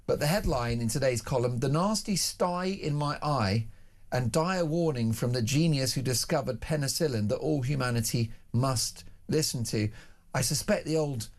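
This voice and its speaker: A man's voice: mid-range voice, moderate pace. A British man hosting a talk show, reviewing the papers and bantering in a chatty, wry manner. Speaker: radio talk show host. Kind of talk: newspaper review and casual banter.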